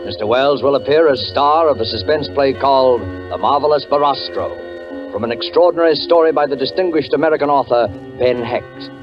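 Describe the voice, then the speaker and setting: A man's voice: mid-range voice, a little fast. A man introducing a 1940s radio suspense show as host, formal and dramatic. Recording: muffled 1940s radio, music behind.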